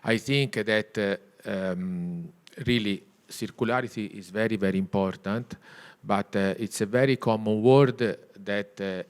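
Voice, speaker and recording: A middle-aged Italian man speaking English as a second language with an Italian accent, pausing often and filling gaps with hesitation sounds on a store panel. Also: lowish voice, smooth. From Italy. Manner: hesitant, many filler sounds, searching for English words. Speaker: CEO of a textile mill, panel guest. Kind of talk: panel discussion. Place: retail store event space.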